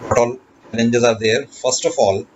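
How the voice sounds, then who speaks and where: A man delivers an explanatory lesson in a medium-pitched voice, halting now and then to rephrase. The recording is dull and lo-fi, with faint noise behind him. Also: fairly even intonation. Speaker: lecturer.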